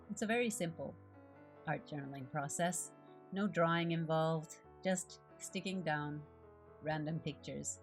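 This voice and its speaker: Low-voiced woman, calm and devotional. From Japan. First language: Japanese. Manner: calm, gentle, unhurried. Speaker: art journaling creator. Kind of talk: reflective devotional voice-over while crafting. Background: faint guitar music.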